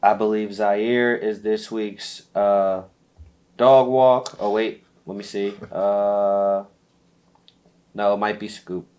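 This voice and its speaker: A stuffy-nosed man with a lowish voice and little rise or fall in his pitch. He runs a laid-back fantasy football chat, drifting through fillers and easy chuckles. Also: slow pace. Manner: relaxed, lots of fillers and light laughs. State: stuffy from allergies. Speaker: podcast co-host.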